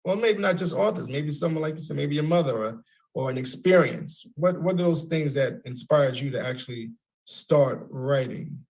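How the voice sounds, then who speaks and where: Guy hosting a radio show, pitch a bit high, keeps stumbling and rewording questions. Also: animated, a little mellow, low-quality recording. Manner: halting, repeats words, rephrases questions. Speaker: radio host. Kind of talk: radio interview.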